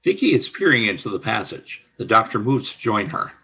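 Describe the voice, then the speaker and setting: A man narrating the stage action of an amateur radio play in an even reading. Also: fairly low pitch, brisk, gravelly, breathy edge, dull audio.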